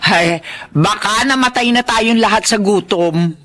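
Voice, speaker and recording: A woman's voice: mid-range voice, unhurried, a bit bright, close to an everyday voice. An actress playing a worried, caring older mother of grown children in a Tagalog radio drama. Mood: fretful, caring. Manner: fretful, motherly.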